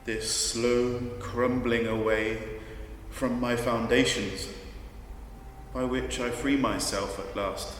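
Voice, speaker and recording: Slow male reader, far off, echoing. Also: medium pitch, flat delivery. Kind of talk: solemn dramatic literary reading. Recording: echoey hall from far off, faint noise.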